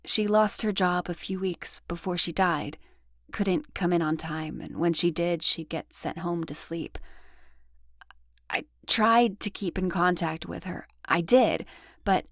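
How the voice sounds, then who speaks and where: A woman acting a guarded, troubled witness in an audio drama. She speaks very slowly and haltingly in a lowish voice, recorded close and dry. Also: no room sound. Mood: troubled, recalling. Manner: hesitant, halting.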